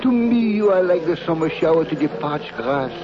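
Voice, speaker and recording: A man doing a high-voiced, gushing French count. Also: animated, moderate pace, music in the background, lightly acted. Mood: pleading. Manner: flowery, pleading lover's talk. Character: fussy, sentimental little French count, man. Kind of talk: 1930s radio acting. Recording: muffled old radio recording, audience noise.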